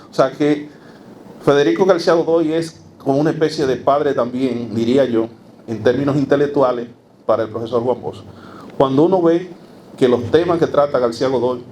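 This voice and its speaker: A man gives an academic talk in a lecture hall. He speaks in a steady, measured way at a middle pitch, pausing on fillers and asking rhetorical questions. A faint hiss of room ambience sits under his voice. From Dominican Republic. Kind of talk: university lecture.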